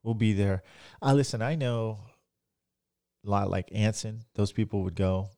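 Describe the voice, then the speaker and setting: Man, podcast guest, easygoing and teasing, low gravelly voice, dry sound. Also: moderate pace, dark tone, no room sound. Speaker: podcast guest, host's husband. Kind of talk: casual podcast chat. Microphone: studio microphone.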